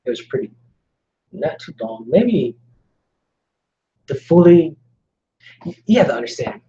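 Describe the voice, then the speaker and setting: A man's voice: on the high side, big pitch swings, measured pace, lo-fi sound. Rambling, joking man on a live stream. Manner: rambling, joking, many trailing quiet asides. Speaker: co-host of a couple's channel. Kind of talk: casual live-stream chat.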